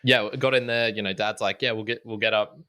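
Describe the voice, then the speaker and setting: Lower-pitched male host, loose and rambling conversational delivery. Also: steady tone, fast, sharp tone. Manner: rambling, many restarts and fillers. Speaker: podcast host. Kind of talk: casual chat.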